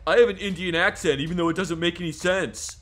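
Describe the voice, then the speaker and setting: A man's voice: sits high, fast talker, a little hiss or hum. A man giving casual, joking gaming commentary. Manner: animated, joking, laughing. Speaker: let's play commentator.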